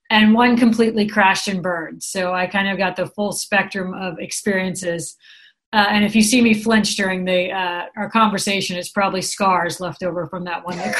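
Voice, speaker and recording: A woman's voice: mid-range voice, a bit bright, poor audio. A middle-aged American woman from San Francisco, a program director, answering interview questions in a chatty, upbeat way. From San Francisco, USA. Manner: chatty, upbeat, explains with examples.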